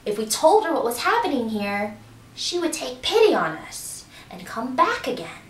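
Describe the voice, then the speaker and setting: A woman's voice: fairly high pitch, big pitch swings, normal speed, fairly bright tone. A woman voicing a brave male rooster in a children's story, speaking earnestly. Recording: roomy echo, distant mic.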